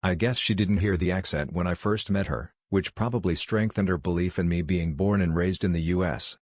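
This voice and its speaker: A man narrating forum posts and comments in a steady, even reading voice. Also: low-pitched, a little fast, no echo, clean studio sound. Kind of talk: scripted narration.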